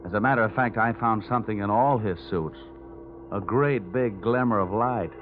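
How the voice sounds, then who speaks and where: A man's voice: fairly low pitch, normal speed, lightly acted. An actor playing a wry, wisecracking insurance investigator who narrates his case in vintage radio noir style. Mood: wry, sardonic. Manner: sardonic, wisecracking. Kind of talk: 1940s-50s radio noir narration and acting. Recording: tinny vintage radio recording, music behind.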